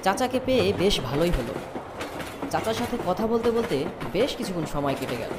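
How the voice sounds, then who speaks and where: Woman as a young storyteller, animated intonation. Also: medium pitch, a little fast, heavy background noise, lightly acted. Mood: reflective. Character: polite young city student narrating a journey. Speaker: narrator. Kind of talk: scripted first-person story narration. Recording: prominent music behind the voice.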